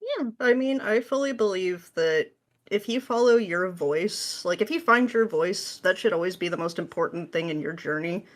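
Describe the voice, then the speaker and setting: A woman's voice: lowish voice, lively intonation, normal speed. A woman speaking as an interview guest, explaining things in a casual, playful, slangy way. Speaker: podcast guest, script writer.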